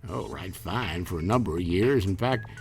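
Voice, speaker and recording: A man's voice: sits low, lively intonation, moderate pace. An actor playing a naive, folksy Mississippi country man in a campy radio comedy, with a Southern accent and a stammering, overeager manner. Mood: folksy, rambling. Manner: stammering, chuckling, overeager, rambling. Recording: music behind the voice.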